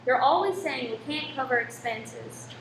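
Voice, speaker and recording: Radio play lead: exasperated, businesslike woman actress, high voice, roomy sound with faint noise. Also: animated, moderate pace. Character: brisk, exasperated woman running a cinema. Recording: echoey room, faint background noise.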